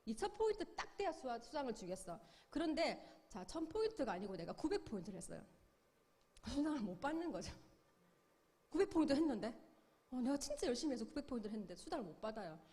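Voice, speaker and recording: A woman's voice: high-pitched, very animated, fast, warm tone, long reverb tail. A middle-aged woman giving a sales seminar lecture, upbeat, explaining with questions and acted-out quotes. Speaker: business seminar speaker.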